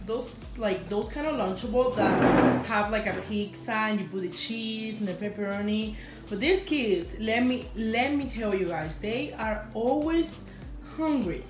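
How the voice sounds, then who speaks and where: Chatty mom vlogging on her phone. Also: fairly high pitch. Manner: chatty, rambling, many "like" and "um" fillers. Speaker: family vlogger, mother of three. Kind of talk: casual vlog chat to camera. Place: home kitchen, then inside a parked car. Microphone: phone camera held at arm's length.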